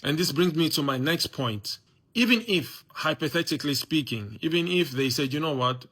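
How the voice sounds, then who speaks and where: A man's voice: mid-range voice, sharp tone. A man giving an opinion monologue, lecturing viewers and often adding "you know" and "guys". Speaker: commentator.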